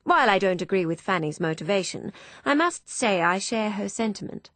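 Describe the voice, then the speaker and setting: A woman's voice: mid-range voice, expressive, a little fast, a bit bright, no room sound, natural voice. A woman voicing a hearty young army major who speaks in bluff, emphatic declarations.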